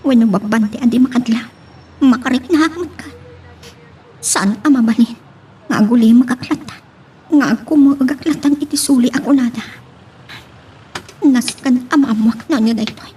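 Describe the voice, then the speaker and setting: High-voiced, hurt Ilocano wife played by an actress. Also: female voice, normal speed, long pauses, warm tone, far from the mic, slight background noise, close to an everyday voice. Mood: suspicious, worried. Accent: Filipino, Ilocano. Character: suspicious, hurt young wife doubting her husband. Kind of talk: Filipino radio-style romance drama acting.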